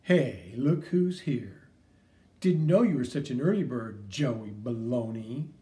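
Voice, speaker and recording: A man's voice: mid-range voice, expressive, slow, far from the mic, voice pushed down. A man voicing a taunting schoolyard bully. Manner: taunting, mocking, loud. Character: sneering schoolyard bully boy. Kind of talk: audiobook character reading.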